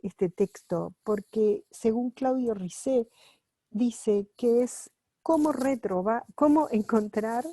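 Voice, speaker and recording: A woman reads aloud at home in a slow, warm, mellow voice. She translates a book as she goes, so she moves carefully and corrects herself now and then. The sound is close and dry. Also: fairly low pitch, no echo. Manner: unhurried and careful, with pauses and self-corrections while reading. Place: at home, with her dog nearby.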